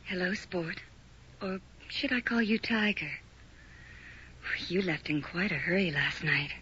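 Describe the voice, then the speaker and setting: An actress playing the ghost of a dead young flapper woman who softly coaxes her visitor in a radio drama. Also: on the low side, expressive, measured pace, noisy background. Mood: teasing. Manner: soft, coaxing, intimate. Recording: dull old radio broadcast.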